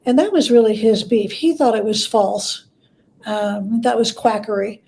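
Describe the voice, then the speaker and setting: A woman with a warm, dark-toned voice pitched a little high. She talks as a guest expert in a conversational interview, explaining informally and paraphrasing quotes as she tells anecdotes. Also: a little slow.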